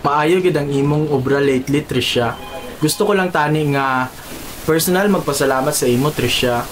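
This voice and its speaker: A woman's voice: low voice, moderate pace, natural voice. A woman voicing a predatory male boss who coaxes and pressures an employee, in a student radio drama. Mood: coaxing. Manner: smooth, coaxing, turns leering.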